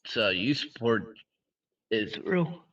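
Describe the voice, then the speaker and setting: Slow talking guy on a video chat, offhand, swears a lot, far off and muffled. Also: fairly low pitch, animated, fairly bright tone. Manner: slow, offhand, casually profane. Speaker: random video chat stranger. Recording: muffled distant voice over a video call.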